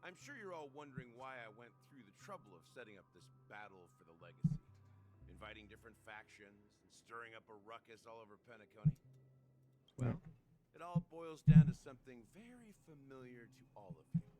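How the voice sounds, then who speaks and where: An actor playing an enigmatic older game character, a sly rebel who talks in a measured, theatrical, wry way. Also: male voice, medium pitch, lively intonation, natural voice, rough recording. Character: enigmatic older man, sly rebel revealing his secrets. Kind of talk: video game story dialogue. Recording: music behind the voice.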